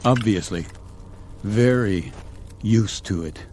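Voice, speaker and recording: An actor in a radio drama playing an authoritative captain of a stranded space crew, terse, probing and suspicious. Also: male voice, fairly low pitch, slow pace, darkish tone, slight background noise. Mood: suspicious, accusing.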